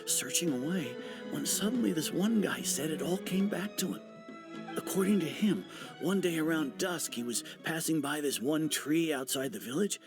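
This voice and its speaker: A man playing an easygoing, chatty villager in a video game, talking in a casual, musing way over soft music. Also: a little high. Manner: casual, musing, hesitant storytelling. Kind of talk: video game dialogue acting.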